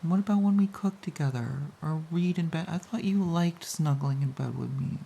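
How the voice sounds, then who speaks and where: A woman playing a playful, pouty, affectionate girlfriend in an intimate romantic audio roleplay, speaking softly and hesitantly with a breathy voice. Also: low voice, moderate pace, a little hiss or hum. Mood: sulky, pouting. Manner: soft, giggly, hesitant, cooing.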